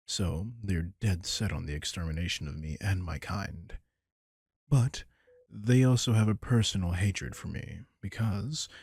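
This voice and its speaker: A man performs a powerful yet gentle vampire king in an intimate comfort roleplay. The voice sits very low, with heavy fry and a husky edge. He speaks in an unhurried, protective way, as if to a single listener. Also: no room sound, studio quality, heavily acted. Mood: protective, reassuring. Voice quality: gravelly, husky. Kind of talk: intimate roleplay audio drama, comforting monologue.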